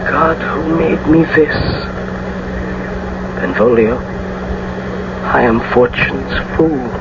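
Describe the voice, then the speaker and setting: Anguished young lover, muffled recording. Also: male voice, medium pitch, slow pace. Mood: despairing. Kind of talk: theatrical radio drama acting. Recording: muffled, noisy old radio broadcast recording.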